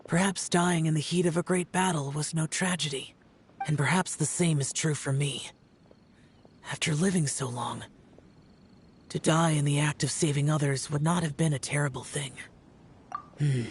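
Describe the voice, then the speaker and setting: A woman's voice: low-pitched, a little hiss or hum. A woman voicing an ancient, brooding male warrior guardian in a video game, grave and reserved. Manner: grave, reserved, brief weary phrases.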